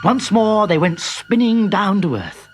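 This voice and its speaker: A man reading a children's story aloud with lively, expressive narration. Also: sits high, measured pace. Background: background music.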